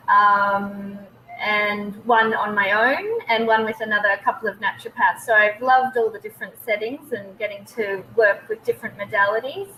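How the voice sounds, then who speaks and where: An Australian woman in her late thirties speaks with a bright, tense voice, a little higher than most. She talks casually and hesitantly over a video call, and a faint noise sits under her voice. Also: low-quality recording. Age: 30s. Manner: hesitant, many ums. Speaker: guest naturopath. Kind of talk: casual webinar interview.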